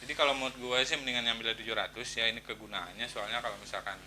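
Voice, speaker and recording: A man chats casually to viewers in a product review, halting and thinking aloud in slangy, informal speech. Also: mid-range voice, fairly even intonation, bright, forceful tone, slight background noise.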